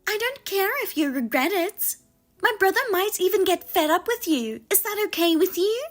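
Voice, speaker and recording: A scornful sister-in-law, as a woman puts her on, her high voice sharp and mocking as she lectures. The voice rises even above the reader's own high pitch, pushy and condescending. Faint music plays under the dramatized story. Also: moderate pace.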